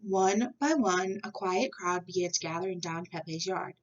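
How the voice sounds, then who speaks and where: A woman reading a children's storybook aloud in an expressive narrating style. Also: lowish voice, normal speed, far from the mic, dull audio. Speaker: storybook reader.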